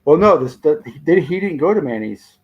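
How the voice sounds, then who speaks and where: A man co-hosting a fan stream. His voice is starting to go hoarse, and he speaks with emphatic, slightly frustrated energy. The audio is dull and muffled, like a cheap lo-fi mic. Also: on the high side, lively intonation, brisk. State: losing his voice. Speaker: co-host of a fan podcast. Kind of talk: casual live-stream chat.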